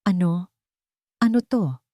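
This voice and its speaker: A woman's voice: lowish voice, animated, slow pace, dark tone, no room sound, clean studio sound. A woman storyteller voicing a humble, sincere young woman caregiver, polite and earnest. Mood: shaken disbelief.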